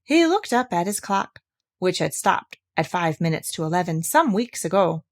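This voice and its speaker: A woman reading a children's storybook aloud as an expressive narrator. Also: lowish voice, moderate pace. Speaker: storybook reader.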